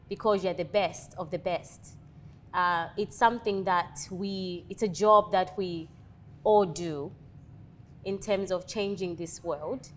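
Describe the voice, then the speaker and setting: A woman's voice: mid-range voice, slight background noise. A woman in her thirties from Zambia, speaking, talks as an interview guest and leadership coach with earnest, motivational conviction. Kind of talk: interview conversation.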